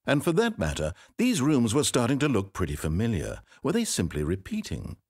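A wry, theatrical storyteller whose husky, lower voice leaps wildly up and down in pitch. He narrates a video game from a dry studio booth, swinging between hushed asides and sudden exclamations. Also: huge pitch swings, moderate pace, no echo, full character voice.

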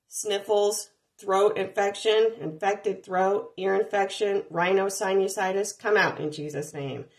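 Woman reciting a commanding deliverance prayer. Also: medium pitch. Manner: repetitive commanding litany, steady listing cadence. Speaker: deliverance minister.

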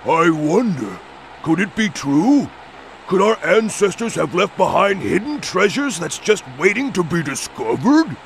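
Blustering, gravelly cartoon bird henchman. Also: sits high, big pitch swings, measured pace, slight background noise, full character voice. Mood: wondering. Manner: blustering, bumbling. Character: big, brash, dim-witted male bird henchman, loyal and excitable. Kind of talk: video game cutscene voice acting.

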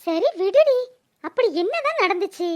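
A woman's voice: very high-pitched, very animated, moderate pace, darkish tone, no echo. A woman voicing a blunt, feisty village friend in a cartoon. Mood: coaxing. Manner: blunt, colourful scolding and coaxing. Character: feisty, scolding village elder-sister friend. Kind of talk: cartoon voice-over dialogue.

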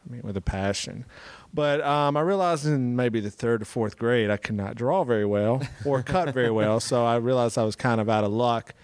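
A man's voice: medium pitch, moderate pace, smooth, no echo. Casual male podcast host, dry sound. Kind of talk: casual conversational interview.

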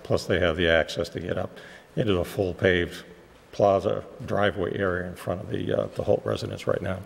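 Man, very low voice, gravelly, fighting a cold, steady technical explainer, echoing meeting hall. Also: slight background noise. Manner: measured and explanatory, with frequent "uh" fillers. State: fighting off a cold, losing his voice. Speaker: project engineer presenting to a planning board. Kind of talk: technical presentation. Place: town meeting room.

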